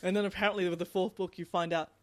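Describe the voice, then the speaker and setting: A woman's voice: fairly low pitch, level tone, very fast, no echo. A woman chatting casually about books as a podcast co-host, informal and conversational, often voicing her own thoughts as quotes. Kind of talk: casual book discussion chat.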